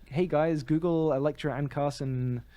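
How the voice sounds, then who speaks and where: A man's voice: on the high side, steady tone, normal speed, warm tone. A man co-hosting a podcast, giving articulate, opinionated commentary in casual conversation. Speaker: podcast co-host. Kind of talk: casual discussion and commentary on theatre.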